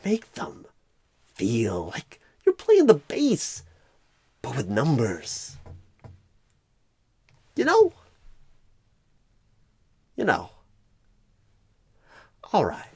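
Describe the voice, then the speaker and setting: A man voicing a clueless, bumbling job recruiter in an ASMR roleplay, earnest and scatterbrained, with halting, oddly stressed phrasing and a husky, breathy voice. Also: mid-range voice, wildly swooping pitch, dark tone, no echo, exaggerated voice. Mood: earnest pep talk. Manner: halting, oddly stressed phrases in a Christopher Walken-style impression.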